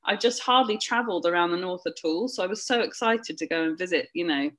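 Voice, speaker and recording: A woman's voice: on the low side, quick pace, fairly bright tone. A woman from southern England with a southern English accent, a podcast guest who talks in a bubbly way and laughs often. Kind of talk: casual chat.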